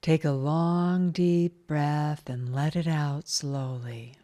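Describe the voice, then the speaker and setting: Low, mellow woman guiding meditation. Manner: calm, soothing, measured with long pauses. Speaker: guided meditation leader. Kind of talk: guided meditation, scripted narration.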